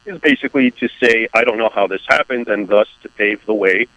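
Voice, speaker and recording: A man from the United States, an author speaking as a radio guest, explaining his view in a measured, emphatic way. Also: lowish voice, sharp tone, no echo, poor audio. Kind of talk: radio interview.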